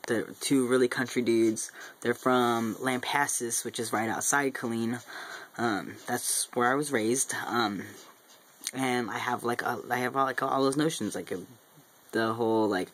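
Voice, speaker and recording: A young man from Central Texas talks casually to his camera, his faint Texan accent surfacing now and then. He rambles and restarts his thoughts, filling pauses with ums. His voice sits at an ordinary middle pitch. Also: a bit bright. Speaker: regular vlogger. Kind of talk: casual vlog monologue.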